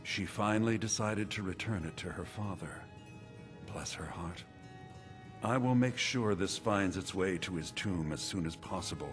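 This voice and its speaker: An actor playing a solemn, pious priest in a fantasy video game, formal and preachy. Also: male voice, low voice, normal speed, over music, lightly acted. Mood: gentle, grateful. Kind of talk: video game character dialogue.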